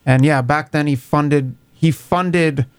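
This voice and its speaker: A man, a reporter, explaining things in a measured way during a casual discussion. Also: a little high, fairly even intonation, clean tone, no room sound.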